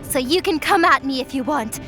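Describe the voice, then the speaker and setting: A woman's voice: high-pitched, animated, moderate pace, music in the background. A woman voicing a defiant young heroine in an audio drama, speaking in a resolute, challenging way.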